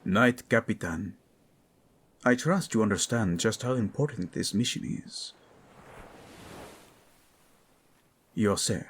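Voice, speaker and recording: An actor playing a devoted, passionate prince and husband in an intimate audio roleplay, with a breathy, husky, murmuring delivery. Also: male voice, fairly low pitch, full character voice. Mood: earnest, commanding.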